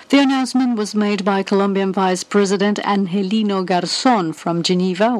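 Female news anchor, medium voice, crisp formal read, dead studio. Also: medium pitch, no room sound, low-quality recording. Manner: clear, formal news delivery. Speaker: news reader. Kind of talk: scripted news reading.

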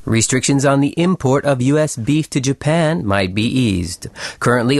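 A man's voice: mid-range voice, no echo, clean studio sound. A man delivering a scripted news report on international radio as a news reader.

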